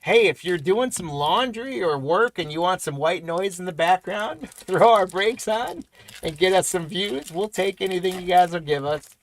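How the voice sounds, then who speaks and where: A man hosting a live trading card break, rattling off player names and congratulating viewers, his voice going hoarse. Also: high-pitched, moderate pace, rough recording. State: voice weakening, losing it.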